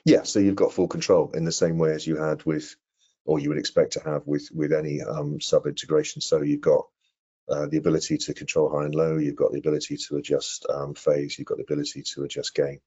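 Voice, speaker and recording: A middle-aged man answering technical questions in an online webinar, explaining things in a measured, careful way. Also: rumbling low, little pitch movement, dark tone, slightly rough. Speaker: product presenter answering questions. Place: indoor room on a video call. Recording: muffled video-call audio.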